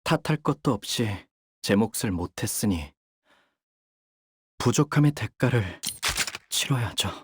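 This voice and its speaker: A man's voice: medium pitch, lively intonation, unhurried, pauses often, slightly rough. A young man voicing a video game character, a dutiful young syndicate soldier who speaks formally and can turn coldly menacing. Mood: formal, obedient. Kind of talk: acted character voice lines.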